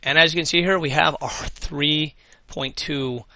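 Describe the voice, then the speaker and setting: Bright-voiced man losing his voice. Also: on the high side, fairly even intonation, unhurried. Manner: thinks aloud, mutters, swears casually. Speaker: let's play host. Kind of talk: casual gameplay commentary.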